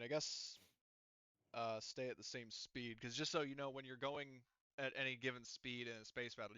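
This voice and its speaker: A man acting as game master in an online tabletop session, talking haltingly as he thinks aloud through rules and dice tallies. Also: medium pitch, fairly bright tone, clear, resonant tone, no room sound, highs cut off.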